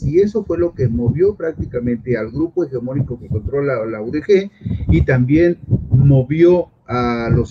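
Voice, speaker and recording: Mexican man on a lo-fi video call. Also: mid-range voice. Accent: Mexican Spanish. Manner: measured, emphatic, explanatory. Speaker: journalist guest. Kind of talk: political commentary. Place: home study with bookshelves. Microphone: video call webcam. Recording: dull lo-fi video call with clear noise.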